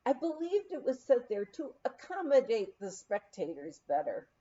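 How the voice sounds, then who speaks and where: A woman reading a humorous memoir aloud in an unhurried storytelling manner. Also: on the high side, very animated, mellow. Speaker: reader. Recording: dull lo-fi audio.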